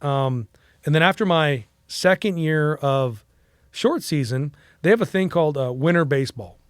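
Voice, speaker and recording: A man's voice: fairly high pitch, lively intonation, normal speed, smooth, dry recording, clean studio sound. A Mexican-American man in his forties, telling a long casual story as a podcast guest, rambling with fillers and restarts. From United States.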